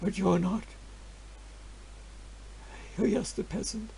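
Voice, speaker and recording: A man's voice: sits high, steady tone, slow, gravelly, warm tone, slight background noise, exaggerated voice. A man voicing a pleading peasant wife.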